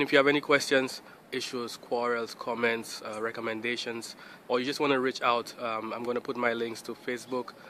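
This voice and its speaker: A man's voice: mid-range voice, a bit bright. A young adult man hosting Bible study videos, talking casually and directly to viewers from outdoors. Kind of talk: casual direct-to-camera vlog greeting. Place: outdoors beside a building. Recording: outdoor traffic and ambient noise.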